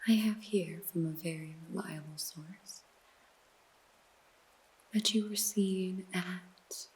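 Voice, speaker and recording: A woman voices a teasing noir detective who interrogates in soft, close whispers. She takes her time, and her voice sits a little low. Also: slow pace. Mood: teasing, probing. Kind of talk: ASMR noir roleplay.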